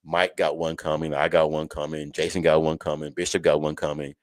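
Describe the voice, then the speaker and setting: A man's voice: very deep voice, little pitch movement, brisk, no echo, clean studio sound. A man hosting a college football show in a casual, folksy, conversational way, speaking to listeners like friends. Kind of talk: casual live sports chat.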